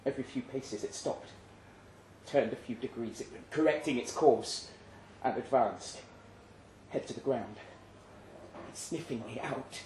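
A man reading literary fiction aloud at a live event, measured and suspenseful, with deliberate pauses. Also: mid-range voice, darkish tone. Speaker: story reader. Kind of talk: dramatic literary narration, read aloud live. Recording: roomy live venue, faint background noise.

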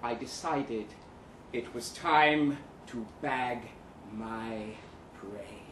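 A man giving an expressive, arch, theatrical reading of a first-person short story at a live event. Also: medium pitch, very slow. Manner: expressive, wry, arch delivery. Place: indoor live reading event before an audience. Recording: echoey live room, audible noise.

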